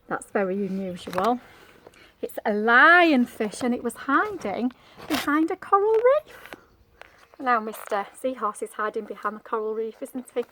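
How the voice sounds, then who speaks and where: A woman reading a picture book to young children in an expressive way, coaxing them with questions and exclamations. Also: a little high, a little slow, a little hiss or hum. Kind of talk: animated storybook read-aloud with asides to children.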